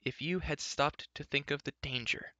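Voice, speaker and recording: A man's voice: a little high, moderate pace, bright, forceful tone, dry recording, highs cut off, pitched above the performer's own voice. A man voicing an earnest, anxious young male hero. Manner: earnest, worried.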